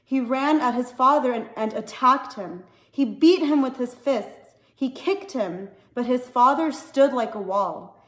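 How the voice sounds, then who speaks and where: A woman reading a novel aloud as an expressive story narrator. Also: sits high, a little slow, few pauses. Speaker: story reader. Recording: echoey, reverberant room.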